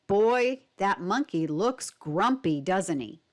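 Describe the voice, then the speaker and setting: Animated woman reading aloud to children. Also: medium pitch. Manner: deliberate, expressive teacher delivery with prompting questions. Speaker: read-aloud instructor. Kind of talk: instructional narration and picture book read-aloud for children.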